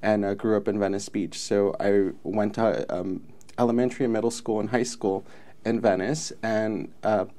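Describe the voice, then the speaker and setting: A man's voice: on the low side, fairly even intonation, moderate pace, mellow. A man in his thirties from Mexico, a native Spanish speaker, answering interview questions in an earnest, reflective way. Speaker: film commission president. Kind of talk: interview guest.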